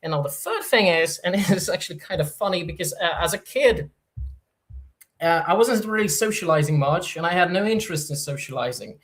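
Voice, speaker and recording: A man's voice: high-pitched, lively intonation, fairly quick, a bit bright. Hesitant Polish-accented man streaming. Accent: Polish, with Norwegian influence. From Poland. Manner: hesitant, many fillers and restarts. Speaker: livestream host. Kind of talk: casual livestream Q&A, reading chat.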